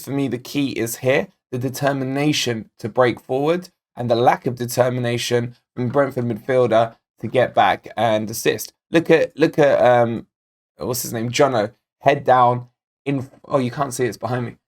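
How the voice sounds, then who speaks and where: A man hosting a football fan channel, with a slightly croaky voice and a mid-range pitch. He talks chattily and directly, calling his viewers guys as he goes through the match. He speaks into a desk microphone. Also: steady tone, moderate pace. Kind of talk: casual match analysis to camera.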